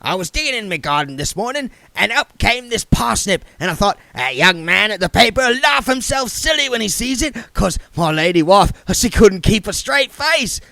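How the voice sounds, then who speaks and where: A man's voice: sits high, very animated, normal speed, vocal fry, hardly any pauses, pushed and bright, dry recording, voice pushed up, exaggerated voice. A man voicing a cheerful older male joker who talks in a jolly, chatty way.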